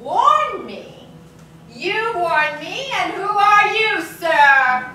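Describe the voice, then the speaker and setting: An actress as a very high-pitched rousing woman campaigner. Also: big pitch swings, bright, forceful tone, full character voice. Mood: defiant. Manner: oratorical, deliberate, emphatic. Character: Margaret Sanger, earnest defiant birth-control campaigner addressing a rally. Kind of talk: declamatory staged-reading stage acting. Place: small theater, live staged reading. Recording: echoey theater, distant mic, faint noise.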